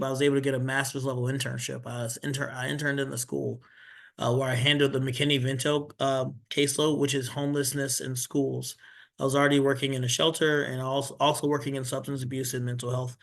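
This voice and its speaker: A man's voice: medium pitch, level tone, brisk, creaky. A man speaks earnestly on a webinar panel. He stumbles and restarts often as he talks. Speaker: social worker, webinar panelist.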